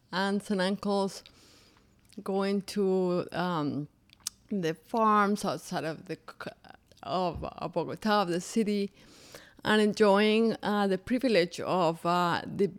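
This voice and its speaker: A woman from Colombia, a native Spanish speaker, talking as a guest in an interview in an unhurried, thoughtful way with soft fillers. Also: medium pitch, clear, resonant tone. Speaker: podcast guest, business founder.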